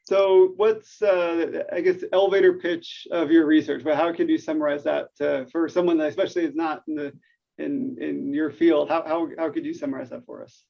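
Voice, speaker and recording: A man's voice: a little high, steady tone, normal speed, vocal fry. A man hosting an interview show who often repeats words as he asks his questions. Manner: stumbling, repeats words while asking. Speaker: podcast host. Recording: dull lo-fi sound.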